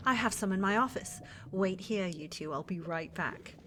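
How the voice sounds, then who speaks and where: A woman's voice: medium pitch, moderate pace, fairly bright tone, a little hiss or hum, natural voice. A woman playing a flirty, controlling witch mistress in a medieval fantasy ASMR roleplay, coaxing and genteel in her manner. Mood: pleased, bossy. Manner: coaxing, genteel, calls others dear.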